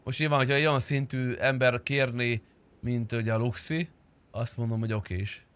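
A man's voice: medium pitch, level tone, a bit bright, clear, resonant tone. A man chatting casually and crudely with friends while streaming a roleplay game. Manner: crude, bantering.

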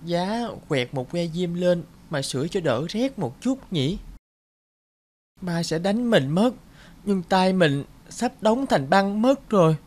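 A woman voicing a poor, freezing little girl in an animated story, plaintive and pleading. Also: on the low side, slow, slight background noise. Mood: weary, cold. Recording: loud music behind the voice.

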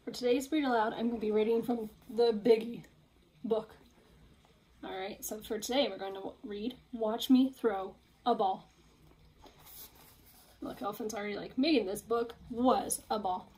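Young female read-aloud reader, high-pitched, casual with little asides, home-recorded in a small room. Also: steady tone, normal speed, pauses often, warm tone, slightly rough, poor audio. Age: young adult.

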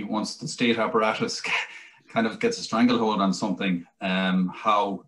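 Low-voiced Irish man, rambling. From Ireland. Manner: rambling, many restarts and "you know". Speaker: translator and author. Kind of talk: conversational interview over video call. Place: small indoor room, plain wall. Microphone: video call webcam.

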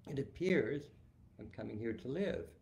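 A guarded young Englishwoman who answers in short, clipped replies, as a man reads her from his book. The voice underneath stays dark and gravelly. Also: mid-range voice, expressive, unhurried. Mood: curt.

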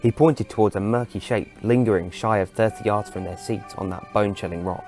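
Guy reading out an audio story, lowish voice, bit of music under it. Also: brisk, a little mellow. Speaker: narrator. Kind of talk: scripted story narration.

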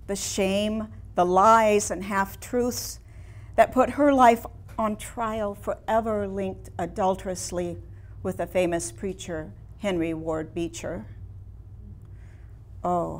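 A woman in her sixties playing an ailing, reflective elderly woman painter in a staged dramatic monologue, with theatrical, measured delivery and long pauses. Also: medium pitch. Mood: pained. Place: small indoor room before a seated audience.

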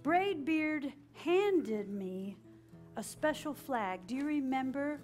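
A high-voiced woman tells a story to young children. She is dramatic and animated, inviting them to repeat lines as she goes. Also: takes it slowly, soft background music. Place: children's library story room with an audience, indoors.

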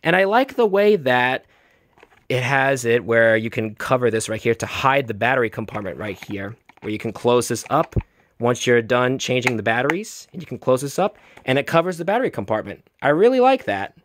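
A man's voice: mid-range voice, sing-song, normal speed, fairly bright tone, a little hiss or hum. A man giving a chatty show-and-tell product review, enthusiastic and fond of repeating emphatic phrases. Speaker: toy reviewer.

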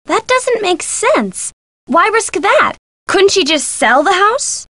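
Bubbly young gal pal from a detective game, really high voice, super lively, perky and chummy. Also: female voice, takes it slowly, no echo, studio quality, heavily acted. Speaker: game character giving hints. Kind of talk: video game voice acting.

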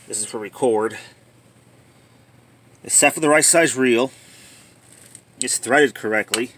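A man giving casual, plainspoken commentary on a handheld video, thinking aloud in a halting way as a hobbyist showing a repair. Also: mid-range voice, fairly bright tone. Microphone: handheld phone or camera.

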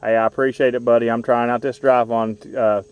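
Man, 40s, outdoor radio operator; quick clipped call-sign delivery, mic held a bit off. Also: medium pitch, flat delivery, smooth, no room sound, far from the mic, phone-quality sound. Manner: brisk, repetitive call-sign reading. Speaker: ham radio park activator. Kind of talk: rapid radio contact exchange. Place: outdoors in a park. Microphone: handheld radio microphone.

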